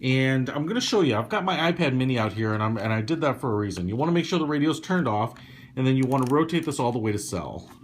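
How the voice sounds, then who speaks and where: A man giving a casual, hands-on gadget demonstration in an explanatory, measured way, hedging his opinions as a product reviewer. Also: mid-range voice, lively intonation, slight background noise.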